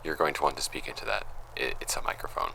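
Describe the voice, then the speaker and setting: A man's voice: a bass voice, very animated, quick pace, gravelly, sharp tone, in a whisper, full character voice. An actor playing a stern, wary male investigator in an audio drama, curt and impatient.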